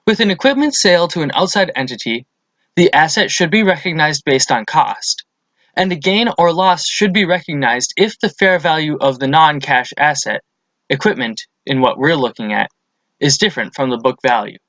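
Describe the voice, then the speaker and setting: A man's voice: a little high, lively intonation, moderate pace, fairly bright tone, no echo, muffled recording. A man presents a lesson as scripted instructional narration, explaining things methodically step by step.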